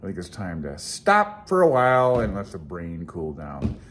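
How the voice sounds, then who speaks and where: A man's voice: low-pitched, huge pitch swings, normal speed, a bit bright. A middle-aged man thinking aloud in an informal, hesitant, meandering and self-deprecating way as he walks through a handbook at home. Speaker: amateur radio vlogger. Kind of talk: informal rambling walkthrough of a handbook. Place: small room at home.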